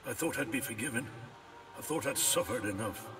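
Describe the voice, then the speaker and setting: A man's voice: medium pitch, a little fast, close to an everyday voice. A man voicing a haunted, remorseful male spirit of a war veteran in a video game, sorrowful and regretful. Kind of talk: dramatic video game voice acting. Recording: distant game audio under loud music.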